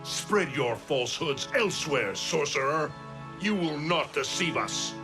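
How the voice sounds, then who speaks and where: A stern, wrathful thunder god. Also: male voice, on the high side, expressive, measured pace, music in the background. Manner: commanding, rising to angry shouts. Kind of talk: video game cutscene acting. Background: orchestral score.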